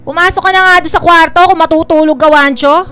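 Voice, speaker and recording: A woman's voice: very high-pitched, fairly even intonation, a little fast, sharp tone, soft background music. An actress playing a bossy middle-aged mother in a Filipino radio drama, with an exasperated manner. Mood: nagging, irritated. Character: nagging, two-faced middle-aged mother, sharp-tongued at home.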